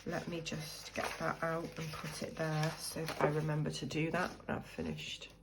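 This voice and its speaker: A woman's voice: low voice, monotone, moderate pace, background noise. A British woman with a cold, chatting and thinking aloud as she sorts cash into budget envelopes. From United Kingdom. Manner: chatty, thinks aloud while counting money. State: croaky from a cold. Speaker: budgeting vlogger. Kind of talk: casual chat while cash stuffing.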